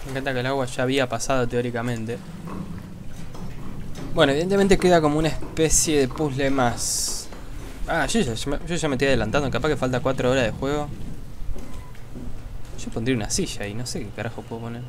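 A man's voice: medium pitch, fairly quick, pauses often, background noise. A man with a Rioplatense accent commenting casually on a game he is playing, muttering and swearing as he works things out. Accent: Rioplatense Spanish. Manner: casual, swearing, thinking aloud. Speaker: gaming video host. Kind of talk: let's play commentary.

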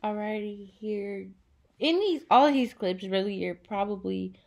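A young woman talking casually through a makeup tutorial, relaxed and chatty with many fillers, in a small bedroom on a device mic. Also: mid-range voice, measured pace, gravelly. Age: young adult. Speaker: beauty vlogger.

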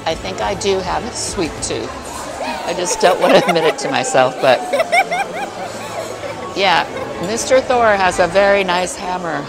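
A woman's voice: lowish voice, animated, measured pace, pauses often. A woman casually reviewing food to camera in a playful, exclaiming way, chatting between bites. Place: busy buffet restaurant, indoors. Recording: busy restaurant chatter with music behind. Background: crowd chatter and music.

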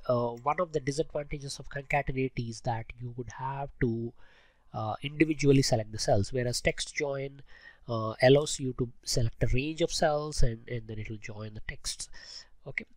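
A man's voice: medium pitch, fairly bright tone, no room sound. A man with a cold narrates an informal screencast tutorial, explaining step by step with frequent hesitations. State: voice affected by a cold. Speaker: host of a spreadsheet tutorial channel.

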